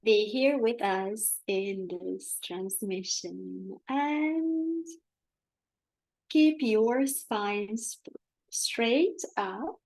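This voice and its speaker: A woman with a Romanian accent voicing a loving collective of star beings that speak as one, in a very slow, measured, solemn way. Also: mid-range voice, a little mellow, no room sound, poor audio. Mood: serene. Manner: very slow, measured, solemn delivery with long pauses.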